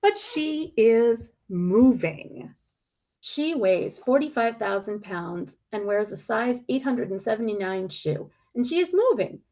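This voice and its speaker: Female storybook reader, very lively intonation, noticeable vocal fry, dramatic stresses and pauses. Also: on the high side. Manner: deliberate, dramatic emphasis with pointed questions and pauses. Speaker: media specialist reading a picture book aloud. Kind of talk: expressive picture-book read-aloud.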